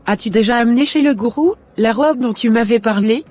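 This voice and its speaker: A woman voicing a scheming, indignant teenage daughter in an animated moral drama, speaking insistently. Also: fairly high pitch, brisk, natural voice.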